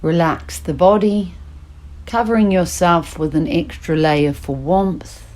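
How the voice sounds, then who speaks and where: A woman teaching yoga nidra, guiding a relaxation meditation in slow, soothing instructions with long pauses. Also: on the low side, darkish tone.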